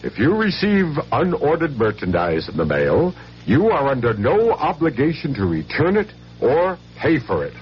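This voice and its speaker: A man's voice: medium pitch, huge pitch swings, moderate pace. Male announcer, consumer tip spot, swooping intonation, dull old radio broadcast. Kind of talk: scripted radio public service spot.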